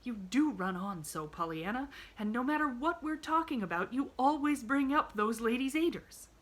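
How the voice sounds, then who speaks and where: A woman's voice: on the high side, lively intonation, moderate pace, pitched above the performer's own voice. A woman voicing a stern, impatient older aunt with a cold, clipped manner.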